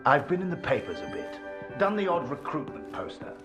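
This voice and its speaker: A man acting a genial, self-assured army major with a polite, measured manner in a video game. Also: fairly high pitch, wildly swooping pitch, over loud music.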